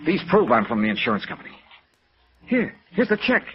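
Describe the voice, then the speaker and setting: An actor playing a terse, hardboiled yet compassionate American insurance investigator in 1950s radio noir, with clipped dramatic delivery. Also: male voice, fairly high pitch, big pitch swings, brisk. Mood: gently urgent. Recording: dull vintage radio recording with music.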